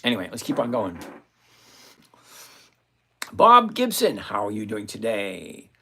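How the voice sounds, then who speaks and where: Gravelly-voiced man hosting a trading stream. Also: on the low side, wildly swooping pitch, a little slow. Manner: chatty, thinks out loud, answers viewers by name. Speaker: livestream host and options trader. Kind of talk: live-stream trading commentary, reading chat aloud. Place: home trading desk with several screens.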